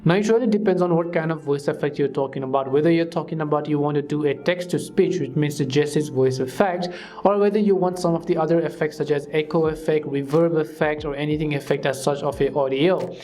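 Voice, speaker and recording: A man hosting a tutorial channel, calmly walking through app steps one by one in a scripted voice-over. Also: fairly high pitch, brisk. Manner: steady step-by-step instructions with filler phrases. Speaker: tutorial host. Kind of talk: scripted screen-recording tutorial.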